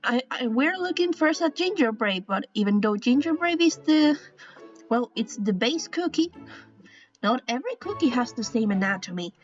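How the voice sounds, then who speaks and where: A woman's voice: on the high side, lively intonation, moderate pace. A woman with a Spanish accent, a native Spanish speaker, explains a drawing style in a casual tutorial, hesitant, with many restarts and apologies. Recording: dull lo-fi sound with faint music.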